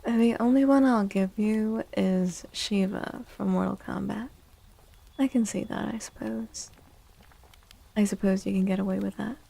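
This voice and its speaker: A woman livestream host chatting in a soft, gentle ASMR style close to the mic, drifting now and then toward a breathy whisper. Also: medium pitch, fairly even intonation, unhurried. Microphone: close microphone.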